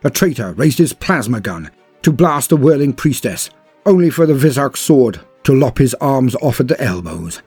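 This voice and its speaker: Guy doing grave dramatic lore narration, bit husky, lowish voice, music under it. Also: very animated, a little mellow, no echo. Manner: grave, theatrical storytelling with drawn-out emphasis.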